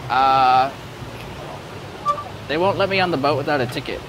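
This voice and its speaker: A man in his thirties chatting casually and haltingly on an outdoor travel livestream, speaking into a clip-on mic. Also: medium pitch. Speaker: travel live streamer. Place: outdoors at a covered boat pier. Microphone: clip-on wireless mic on shirt. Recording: loud outdoor urban background noise.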